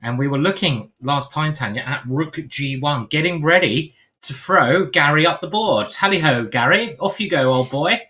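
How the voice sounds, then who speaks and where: A man's voice: fairly high pitch, expressive, normal speed, hardly any pauses, sharp tone. An English man commentating live chess in a playful, chatty way, with many fillers and restarts.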